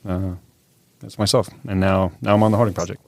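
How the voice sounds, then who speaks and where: Brief: man in his thirties, very low voice, relaxed and unscripted, dry close studio sound. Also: fairly quick, gravelly, dry recording. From California. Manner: easygoing, loose, frequent "uh" and "yeah". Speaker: podcast guest, soldier. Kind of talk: casual interview talk.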